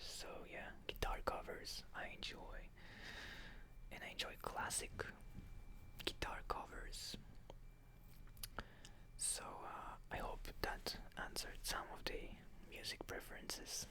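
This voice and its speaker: A Hebrew speaker from Israel, whispering a meandering ASMR question-and-answer chat, full of soft fillers. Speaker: ASMR creator.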